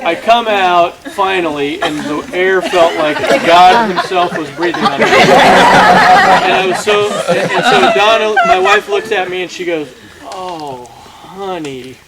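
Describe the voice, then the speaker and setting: A man's voice: high voice, animated, takes it slowly, very noisy. A man telling a comic story to a live audience, exaggerating and acting out the dialogue as he goes. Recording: loud audience laughter behind. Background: laughing crowd.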